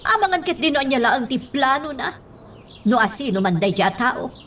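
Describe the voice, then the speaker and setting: A woman's voice: sits high, sing-song, normal speed, fairly bright tone, a little hiss or hum. An actress playing a cautious, worried middle-aged mother in a radio drama. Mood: gently admonishing.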